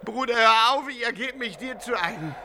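A man's voice: extremely high pitch, animated, moderate pace, pushed and bright, a little hiss or hum, exaggerated voice. An actor playing a desperate, wheedling armed brawler in a radio drama, his delivery strained and pleading. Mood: exhausted pleading.

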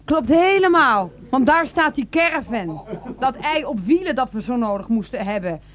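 High, sardonic city wife. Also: female voice, very animated, moderate pace, fairly bright tone. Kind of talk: radio play acting.

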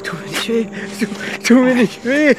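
A man's voice: very high voice, lively intonation, moderate pace, loud music behind the voice, poor audio. An actor playing a tipsy, nosy man at the wheel in a radio drama, hesitant and muttering. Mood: feeble, frightened. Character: tipsy, nosy male driver, weak and wavering.

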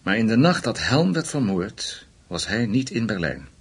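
An actor playing a measured, discreet senior German investigator in a Dutch radio play, speaking in a deliberate, formal way. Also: male voice, fairly low pitch, big pitch swings, slightly rough, heavily acted. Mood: composed, decisive.

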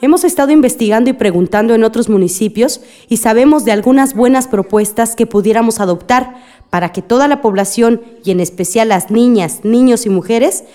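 Una actriz de radionovela que interpreta a una regidora de salud formal que expone propuestas ante el cabildo. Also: female voice, fairly high pitch, warm tone, lightly acted. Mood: formal, concerned. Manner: formal, measured public address. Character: woman health councillor, formal and civic-minded. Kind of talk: radio drama acting.

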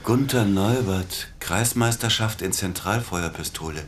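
A man's voice: on the low side, measured pace, a little hiss or hum, natural voice. An actor playing an uneasy, easily impressed male accomplice in a German radio drama, with a hesitant manner. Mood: awed.

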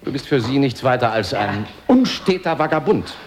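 A man's voice: mid-range voice, big pitch swings, normal speed, lightly acted. A man playing a talkative, provocative studio director in a radio drama, mocking and emphatic in a conversational way. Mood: mocking, lecturing. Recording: very noisy recording.

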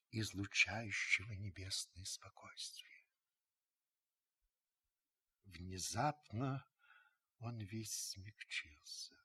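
An actor playing a solemn witness who narrates a radio drama, deliberate and intense with dramatic pauses and a husky voice. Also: male voice, on the low side, sharp tone, exaggerated voice. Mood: sorrowful, tender. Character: solemn male witness narrating with mounting tension.

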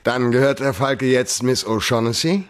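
An actor playing a cool, hard-boiled private detective in a German radio crime drama, laconic and dry. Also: male voice, medium pitch, normal speed, natural voice. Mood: guarded, dry.

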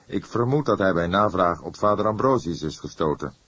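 A seasoned police inspector, acted by a male reader in a crime audiobook. His voice is deep and a little rough, recorded dry. He is thoughtful, wry and measured. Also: lively intonation, fairly bright tone, dry recording, exaggerated voice. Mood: reasoning. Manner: measured, deliberate. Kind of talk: audiobook character acting.

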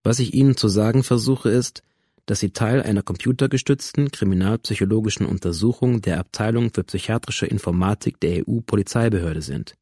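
An actor playing a clinical police researcher in a radio drama, speaking in a formal, matter-of-fact, bureaucratic way. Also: male voice, lowish voice, a little fast, mellow, slightly rough, no room sound, clean studio sound. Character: coolly clinical male police researcher explaining a simulation.

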